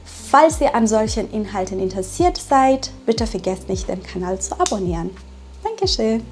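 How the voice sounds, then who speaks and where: A woman from Iran, a German teacher, speaking slowly and clearly in a vlog for learners and often checking in with tag questions. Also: mid-range voice, very animated, a little mellow, soft background music. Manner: deliberate, with frequent tag questions.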